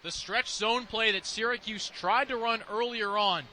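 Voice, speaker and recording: A man's voice: high voice, steady tone, moderate pace, bright, forceful tone, low-quality recording. A man giving analytical color commentary on a live radio football broadcast, explaining plays and offering opinions, with stadium noise behind him.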